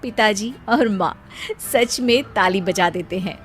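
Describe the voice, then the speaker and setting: A woman narrating a scripted comic story for an animated video. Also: medium pitch, unhurried, soft background music.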